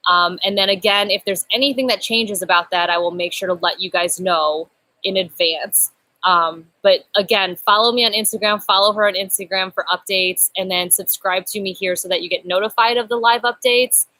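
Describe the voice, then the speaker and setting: A woman's voice: mid-range voice, steady tone, moderate pace, dry recording, rough recording. A young woman hosting a casual live stream from an outdoor patio, chatty and upbeat, picked up by a laptop webcam. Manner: chatty, upbeat, self-described loud voice. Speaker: channel host.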